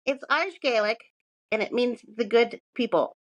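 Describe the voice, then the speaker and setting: A woman's voice: a little high, moderate pace, dry recording. Irreverent woman co-hosting a podcast, dry close sound. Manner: irreverent, sweary, self-mocking asides. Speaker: podcast co-host presenting research. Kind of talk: casual podcast talk, partly reading notes.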